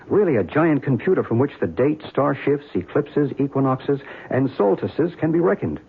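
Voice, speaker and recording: An actor playing a calm, knowledgeable guide to ancient mysteries, speaking in a measured, explanatory way in a radio drama. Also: male voice, medium pitch, breathy edge. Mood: learned, assured. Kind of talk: old-time radio drama acting. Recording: tinny, hissy band-limited radio recording.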